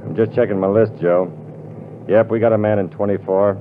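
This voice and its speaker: Man playing a low-voiced pilot, tinny and noisy. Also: fairly even intonation, fairly quick, lightly acted. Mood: businesslike. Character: businesslike male airline pilot radioing the tower. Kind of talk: 1940s radio acting. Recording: tinny, noisy 1940s radio recording.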